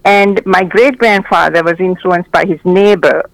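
An older woman from Sri Lanka, a guest telling her story in a measured, explanatory way. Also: fairly low pitch, gravelly, dry recording, poor audio. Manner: measured, explanatory, with small restarts. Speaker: guest, memoir author and mental health counselor. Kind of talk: reflective interview storytelling.

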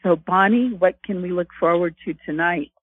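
A woman's voice: on the low side, moderate pace, slightly rough. A woman hosting a group call over the telephone and introducing the next reader. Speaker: call host. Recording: thin, muffled phone-line audio.

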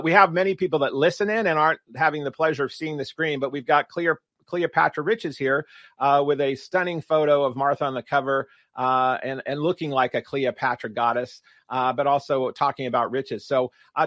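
A male podcast host with a fairly high voice, recorded dry and close with no room sound. He asks long, meandering questions, restarting his sentences and using filler words. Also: brisk, a bit bright, dry recording. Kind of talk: podcast interview.

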